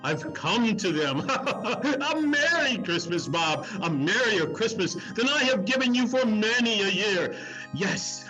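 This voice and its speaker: Old repentant miser for a radio play: excitable, melodramatic, bright, very high delivery, stammering over repeats. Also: male voice, takes it slowly, faint music behind, exaggerated voice, poor audio. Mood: jovial. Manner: melodramatic, breathless stammering repetition. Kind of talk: theatrical dramatic reading, radio play.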